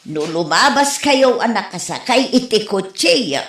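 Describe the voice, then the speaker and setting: A woman playing a scolding, exasperated wife and mother in an Ilocano Filipino comedy audio drama, in an animated, nagging way. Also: mid-range voice, measured pace, roomy sound.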